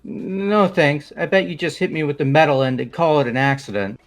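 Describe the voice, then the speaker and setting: A man's voice: a little high, normal speed, faint music behind, lightly acted. A man voicing a casual, blunt, sarcastic young man in a fan-voiced game. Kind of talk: fan-voiced visual novel game acting.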